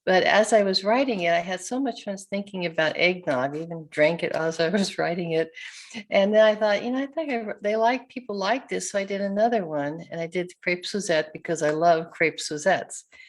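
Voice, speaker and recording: A woman's voice: on the low side, a little fast. An older woman from New York chatting casually and self-deprecatingly as an author guest on a video call. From New York, United States. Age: older, around 70s. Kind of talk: casual chat. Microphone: video call microphone.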